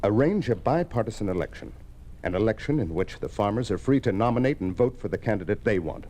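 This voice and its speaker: An actor playing an earnest, defiant young farmers' leader, insistent and persuasive in 1950s radio drama style. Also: male voice, on the low side, animated, fast talker, dark tone. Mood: urgent, pressing.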